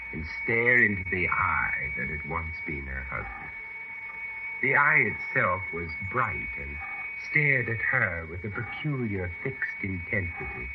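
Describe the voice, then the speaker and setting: A grave gentleman telling a horror tale on old radio. His voice is very low, with wide, dramatic swings of pitch and a formal, suspenseful delivery. Loud noise sits behind the muffled recording. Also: heavily acted. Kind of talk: dramatic first-person radio horror narration.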